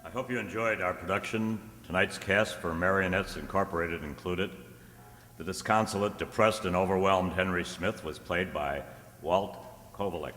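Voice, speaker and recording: A man announcing from a script in a deliberate, theatrical style. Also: sits low. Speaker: announcer reading cast credits. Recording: noisy echoey hall, loud crowd behind.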